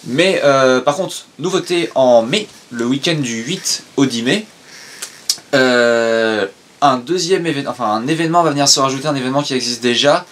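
A man's voice: mid-range voice, normal speed, fairly bright tone, slight background noise. A young man co-hosting a casual chat, steadily explaining news and announcements. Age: young adult. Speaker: co-host, son. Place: room with shelves of toys and merchandise.

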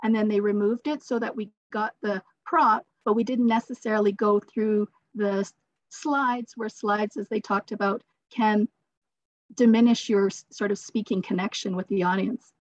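A woman's voice: medium pitch, steady tone, normal speed, no echo. A woman in her forties giving informal advice as a participant in an online workshop. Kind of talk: informal advice on a video call. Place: plain room, indoors. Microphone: video call mic. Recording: dull, dry video-call audio.

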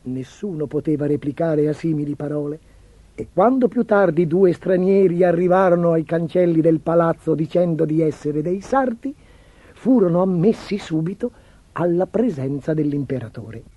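A man narrating a children's storybook as an expressive storyteller, reading from a script. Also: on the high side, moderate pace, slightly rough, no echo, muffled recording.

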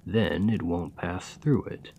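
A man playing a kind, patient gravedigger in a soft, soothing, encouraging ASMR roleplay. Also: low-pitched, slow pace, creaky, slight background noise, full character voice. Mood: gentle encouraging. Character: kind, gentle gravedigger, patient and playfully fond. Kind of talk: ASMR roleplay, scripted comforting monologue.

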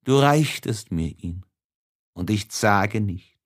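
Man reading as a despairing young man, very slow. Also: fairly low pitch, lively intonation. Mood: tender, mournful. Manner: solemn, halting, hushed at phrase ends, fervent. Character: despairing, tender young man writing farewell letters. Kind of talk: dramatic audiobook reading.

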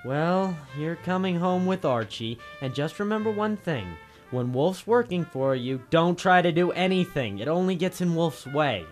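A snappy American gumshoe in an old-time radio drama, his voice pitched high and swinging widely. He is brash, hot-headed and quick with a wisecrack, with faint music on the vintage recording. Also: a little mellow. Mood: wry, scolding. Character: brash, quick-tempered wisecracking male private detective's legman. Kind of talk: 1940s radio drama acting.

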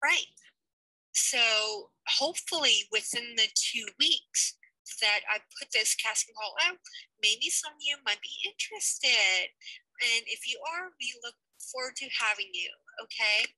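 A woman's voice: a little high, lively intonation, a little slow, pushed and bright, no echo, low-quality recording. A young American woman leading a fan project, chatting casually over a headset in a small room with loose fillers. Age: young adult. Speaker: project lead of a fan dub. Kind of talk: casual video call update.

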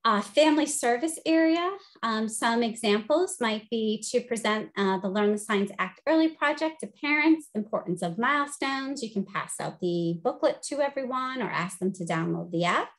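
A middle-aged woman with a high-pitched voice, teaching a webinar over a video call with an upbeat, friendly delivery full of "um"s. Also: lively intonation, moderate pace, few pauses. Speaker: presenter and teacher. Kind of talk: instructional webinar presentation. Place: home office, video call.

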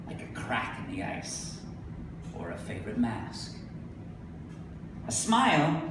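A middle-aged man giving a live poetry reading, speaking deliberately with emphatic pauses. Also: medium pitch. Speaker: poet. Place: indoor venue with a staircase, live reading. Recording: echoey room from a distance, noisy.

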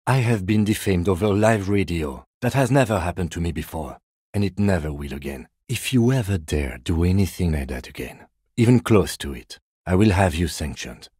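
Middle-aged male police lieutenant, video game dialogue, very low pitch, dark mellow tone, measured, deadpan, restrained. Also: breathy edge, dry recording, clean studio sound. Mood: cold, offended.

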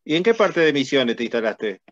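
A man with an Argentine accent asks short, casual interview questions over a video call. His voice has a middle pitch. The audio is dry and dull. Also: very animated, brisk, dry recording. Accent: Argentine Spanish. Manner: brief prompts and short backchannels.

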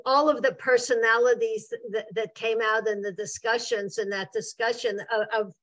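A woman's voice: on the high side, fairly even intonation, moderate pace, a bit bright, no room sound. An older woman on a video call from home, enthusiastic and stumbling over her words. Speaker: discussion participant. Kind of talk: casual chat on a video call. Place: home room.

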